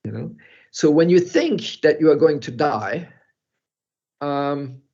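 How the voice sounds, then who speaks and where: An older man who grew up in Europe, speaking as a guest in an online talk and telling a personal story in a halting, reflective way with soft laughs. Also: a little high, expressive. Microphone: video call. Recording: muffled video call audio.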